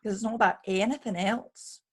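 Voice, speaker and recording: A woman's voice: mid-range voice, brisk, slightly rough, natural voice. A woman playing a sassy, sarcastic lady's maid, loyal but teasing, in a radio play. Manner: sarcastic, needling.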